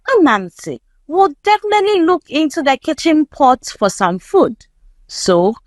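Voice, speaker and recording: A woman reading a folktale aloud as a storyteller, in a measured, expressive storybook delivery. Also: high-pitched, no room sound. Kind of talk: scripted folktale narration read aloud.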